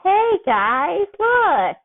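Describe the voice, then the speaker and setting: A woman voicing a giddy, loopy teenage superhero boy in drawn-out, gleeful exclamations, in an amateur story reading. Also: very high voice, very animated, pitched above the performer's own voice, full character voice. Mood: giddy, showing off. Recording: thin, phone-like narrow-band sound.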